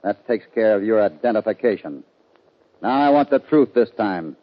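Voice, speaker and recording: An actor playing a steady, commanding cowboy hero in a 1950s radio western, speaking in a firm, terse, authoritative way. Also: male voice, lowish voice, normal speed. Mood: stern, pressing. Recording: dull, lo-fi 1950 radio recording.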